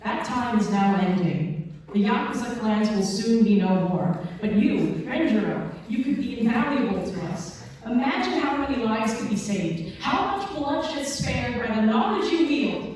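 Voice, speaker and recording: A woman's voice: on the high side, expressive, measured pace, darkish tone. A woman playing a grave, stern emissary delivering an ultimatum in a dramatic script reading, recorded in an echoey room. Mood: solemn, menacing. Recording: very echoey hall, far mic, faint noise.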